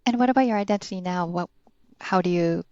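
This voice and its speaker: A woman's voice: lowish voice, fast, no echo. Polite woman host, dry muffled sound. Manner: polite, encouraging, frequent soft backchannels. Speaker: podcast host, interviewer. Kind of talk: casual interview hosting.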